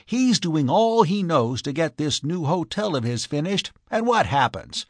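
A man voicing an aggrieved, energetic music publisher who complains emphatically in an audiobook. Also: a little high, no echo, studio quality, pitched above the performer's own voice, exaggerated voice. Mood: indignant complaint. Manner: emphatic, complaining, drawn-out stresses. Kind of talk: audiobook character voice.

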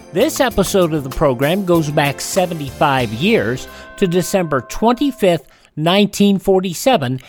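A woman hosting, giving a scripted introduction to vintage radio programs. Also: mid-range voice.

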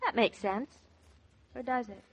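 An actress playing a playful, teasing young newlywed wife in a 1940s radio comedy-drama. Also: female voice, fairly high pitch, a little slow, breathy edge. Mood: playful, breezy. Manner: teasing, mock-indignant, coaxing. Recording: dull 1940s radio transcription recording.

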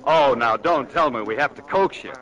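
A man's voice: medium pitch, animated, normal speed, close to an everyday voice. A man playing a cocky, mocking dance band singer, needling and sarcastic, in 1940s radio drama style. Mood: sneering, mocking. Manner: sarcastic, needling, loud to the room. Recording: old radio broadcast, loud crowd behind. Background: noisy party crowd.

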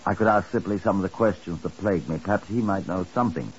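An actor playing a dry, methodical British chief inspector in a 1950s radio drama, measured, deliberate and faintly sardonic. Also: male voice, deep voice, lightly acted. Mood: musing, deliberate. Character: dry, methodical police chief inspector narrating his case. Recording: muffled, hissy 1950s radio recording.

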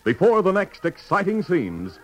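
A man's voice: high-pitched, huge pitch swings, unhurried, soft background music, rough recording. Sweeping, theatrical male radio announcer. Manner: theatrical, formal. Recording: old radio broadcast.

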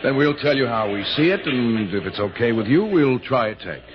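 An actor playing a tough, terse American film star in a 1960s radio thriller, curt and sardonic. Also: male voice, mid-range voice, lively intonation, moderate pace, a crowd in the background, lightly acted. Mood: businesslike. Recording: dull old radio broadcast recording, background din.

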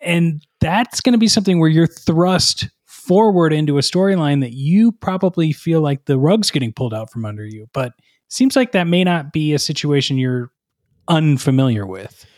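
A man's voice: a little high, lively intonation, normal speed, darkish tone, dry recording, clean studio sound. A man hosting a podcast, interviewing a comedy character in a playful, wry way. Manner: playful, wry, goes along with the bit. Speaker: podcast host.

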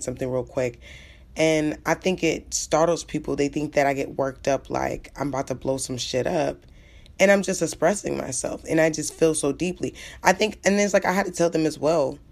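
A woman in a casual panel discussion who speaks openly about her feelings, starting low and rising as she gets passionate. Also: a little fast, gravelly, a little mellow. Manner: open and confessional, talks low but rises when passionate.

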